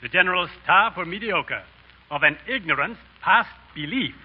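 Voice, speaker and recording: An actor playing a pompous, indignant government censor, stern and declamatory in 1930s radio drama style. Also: male voice, sits high, expressive, moderate pace, breathy edge. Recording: dull, lo-fi 1930s radio broadcast.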